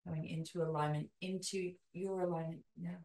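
Echoey, low-pitched woman guiding meditation. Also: gravelly. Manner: slow, deliberate phrases with long pauses; soothing, calming delivery. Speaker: meditation guide. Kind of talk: guided meditation narration. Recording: roomy echo, distant from mic.

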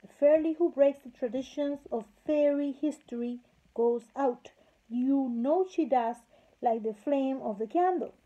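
A woman's voice: high voice, unhurried, mellow. A woman voicing a firm, stubborn king who lectures the fairies, in a storybook reading. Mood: lecturing. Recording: slightly muffled lo-fi recording.

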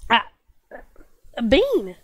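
A woman's voice: on the high side, wildly swooping pitch, drawn-out words, no room sound. A young woman streaming and chatting casually with her viewers, stuffy-nosed with a lingering cough, bubbly and apologetic. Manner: bubbly, apologetic, lots of fillers.

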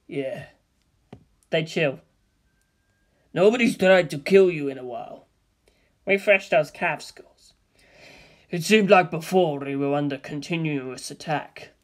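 A man reading a comedy screenplay aloud in a flat, deadpan monotone, announcing scene headings and character names. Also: on the high side. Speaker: reader of a comedy script.

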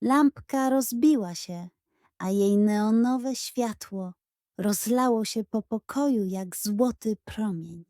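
A woman storyteller reading a scripted children's bedtime story in an expressive, dramatic and suspenseful manner. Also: medium pitch, slow pace, no echo, clean studio sound.

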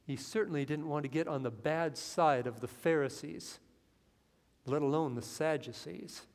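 A man in his fifties preaching a sermon from a church pulpit, earnest and rising into excitement as he reads scripture. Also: on the high side, moderate pace. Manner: earnest preaching that swells with excitement, lines read from the Bible. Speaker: pastor. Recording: long hall reverb in a church.

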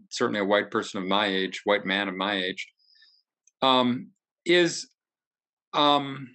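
A middle-aged man speaking earnestly and reflectively in a group discussion, haltingly, searching for his words. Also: medium pitch, bright, forceful tone. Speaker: book discussion participant. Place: indoor room, video call. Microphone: computer mic on video call.

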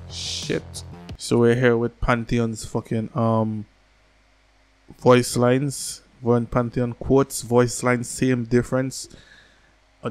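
Slangy man, higher-pitched, reacting casually. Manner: slangy, profane, animated with hands. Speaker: reaction video host. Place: small home room, indoors. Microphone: desk microphone on boom arm, close.

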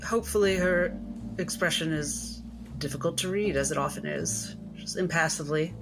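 A woman's voice: low voice, moderate pace, background noise. A woman playing a tabletop game, describing her character's actions in a musing way. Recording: music under the voice.

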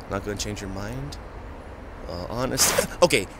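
A young man performing a first-person audio roleplay, confessing in a halting, reluctant way with frequent sighs, tearful as he talks. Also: on the low side, heavy background noise.

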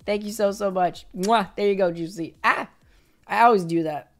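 A young woman in her twenties streaming live from a small bedroom, hoarse from yelling, bubbly and effusive as she thanks viewers over a headset mic. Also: mid-range voice, soft background music. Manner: bubbly, effusive, quick swings to excited squeals.

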